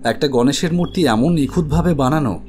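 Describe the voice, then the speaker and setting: A man playing an earnest, uneasy protagonist who narrates his own story in an animated horror drama. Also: a little high, fairly quick, lightly acted. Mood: reflective storytelling. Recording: music under the voice. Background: background music.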